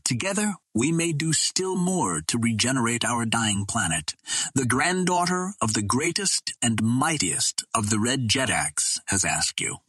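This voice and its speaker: Man voicing a proud, eloquent captive princess, husky. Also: big pitch swings, measured pace, bright, forceful tone, dry recording, heavily acted. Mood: fervent pleading. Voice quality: low, well-modulated. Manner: formal, pleading oratory.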